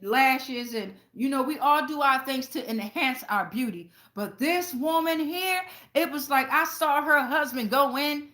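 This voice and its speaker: Bright, high-voiced woman reading tarot. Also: a little slow, hardly any pauses. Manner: blunt, heavy casual swearing; conversational, addresses viewers directly with frequent check-ins. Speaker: tarot reader and channel host. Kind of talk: casual tarot reading with personal anecdotes.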